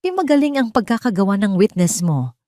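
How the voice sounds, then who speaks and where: A woman's voice: medium pitch, big pitch swings, a little fast, warm tone, dry recording, clean studio sound, pitched above the performer's own voice, natural voice. A woman voicing a scheming female villain, mocking and triumphant, in an audio story. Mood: gloating.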